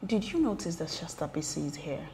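A woman's voice: low-pitched, very animated, brisk, gravelly, warm tone, a little hiss or hum, heavily acted. A young woman with a Nigerian accent, acting a gossipy clinic client who teases her friend in a half-whisper. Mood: teasing. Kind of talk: film drama acting. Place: indoor room.

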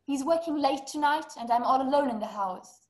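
Young woman acting a high-voiced, fretful, demanding housewife. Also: a little fast, darkish tone, natural voice. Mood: fretful indignant. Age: young adult. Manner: fretful, indignant, formal complaining. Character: anxious, demanding, housebound wife, nervous and fragile. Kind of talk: stage-style dramatic acting. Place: small room at home. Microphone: laptop on video call.

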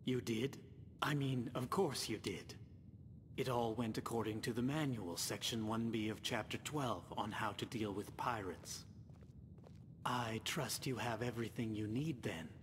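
An officious trading company clerk from a video game, acted by a man, speaks formally and fussily at a medium pitch. He follows the book to the letter. Long reverb surrounds the voice, with a faint rumble behind it. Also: unhurried, close to an everyday voice. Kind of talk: video game character dialogue.

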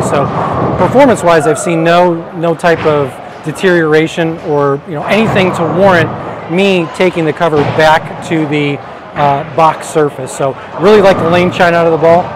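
Man, middle-aged, slightly high-pitched voice, casual reviewer commentary, clear background noise of a bowling alley. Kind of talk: casual product review commentary while demonstrating. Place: large indoor bowling alley. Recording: noisy bowling alley ambience.

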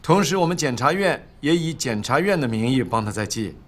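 A man's voice: medium pitch, animated, fairly quick, a little hiss or hum, close to an everyday voice. An actor playing an earnest, firm male prosecution officer in a film drama.

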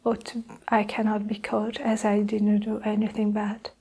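A young woman playing a cold, scheming evil nurse in an improvised ASMR roleplay, soft-spoken and deliberate, often slipping into whispers. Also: medium pitch, completely flat delivery, husky. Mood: cold, defensive. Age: young adult.

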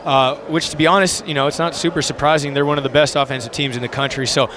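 A man's voice: on the high side, fairly even intonation, quick pace. A young man, a basketball coach, talking informally and earnestly in a post-game interview on a headset mic. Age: young adult. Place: courtside in an indoor arena. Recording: crowd noise in busy arena.

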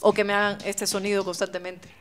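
A middle-aged woman hosts an interview in a small studio set, speaking into a stand mic. Her voice is smooth and clear. She asks short questions and keeps the talk going with brief affirmations. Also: medium pitch, flat delivery, fast talker, fairly bright tone. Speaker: interview host.

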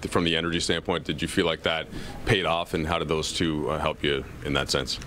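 A man's voice: very deep voice, flat delivery, brisk. A man working as a sports reporter, putting questions to a coach at a press conference. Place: indoor press conference room. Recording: clearly noisy press room sound.

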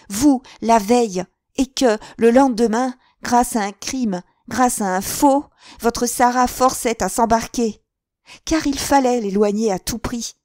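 Woman narrator doing a proud young noblewoman, high and vehement, dry close sound. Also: darkish tone, no room sound, lightly acted.

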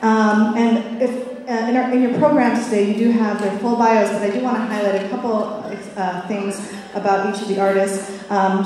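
A woman's voice: mid-range voice, a little mellow. A woman introducing speakers and moderating a live panel, hesitant with many fillers, on stage in a large auditorium. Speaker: event moderator. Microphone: stand mic at podium, far camera. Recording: echoey hall from far off.

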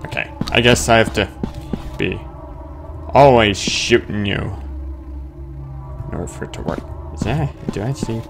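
Low-voiced man rambling through game commentary. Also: unhurried, gravelly, long pauses. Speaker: gamer hosting a playthrough. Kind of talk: casual gameplay commentary. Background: game music.

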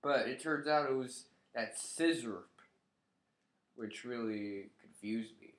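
A young man with a congested, nasal voice, still getting over a cold, chats offhandedly into his webcam with plenty of swearing. He sits in his living room, and the camera's own mic picks up a roomy, echoing sound. His pitch sits in an ordinary middle range. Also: slow pace, fairly bright tone. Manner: loose, profane, offhand. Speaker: vlogger. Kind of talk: casual commentary to camera.

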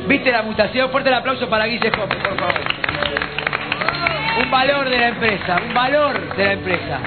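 A man's voice: very high voice, normal speed, phone-quality sound, loud music behind the voice, a loud crowd. A man with a Rioplatense Spanish accent hosting a live TV studio show, teasing and theatrical in his banter. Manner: playful, teasing, theatrical announcer. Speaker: TV show host.

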